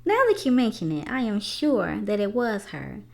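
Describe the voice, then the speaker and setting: A woman's voice: medium pitch, sing-song, moderate pace, gravelly, a little mellow. A woman voicing an eager, curious child from a children's storybook, chatty and musing. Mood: curious, fond.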